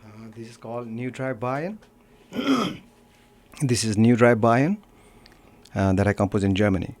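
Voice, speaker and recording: A man from Nepal with a Nepali accent, a musician talking casually in an interview, often pausing to search for words. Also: medium pitch, lively intonation, darkish tone. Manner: halting, searching for words, frequent "you know". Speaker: musician being interviewed, guitarist. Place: radio studio.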